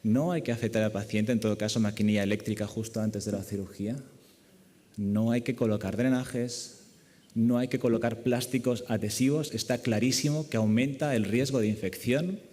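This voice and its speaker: Male surgeon from Spain, conference speaker, lowish relaxed voice, lecture-hall echo. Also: normal speed. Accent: Spanish from Spain. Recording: echoey lecture hall, long reverb.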